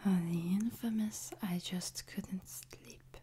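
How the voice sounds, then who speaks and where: A woman playing a soothing, devoted French maid in a whispered ASMR sleep-aid roleplay, with a French accent and a soft, breathy delivery. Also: mid-range voice, fairly even intonation, slow pace, lightly acted. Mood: gentle, attentive. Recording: noticeable background noise, ambience.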